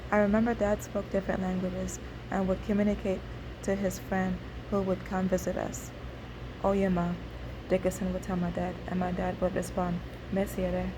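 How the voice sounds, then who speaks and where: A woman whose native language is Igbo narrates a scripted first-person memoir, reading in a halting way with occasional slips. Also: medium pitch, completely flat delivery, noisy background. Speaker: memoir author reading own story.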